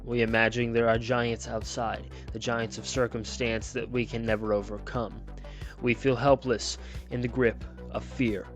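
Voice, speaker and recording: A man's voice: mid-range voice, little pitch movement, moderate pace, faint music behind, lightly acted. A man voicing a smiling male television preacher who sermonizes in a sing-songy cadence. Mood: fervent. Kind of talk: storybook reading.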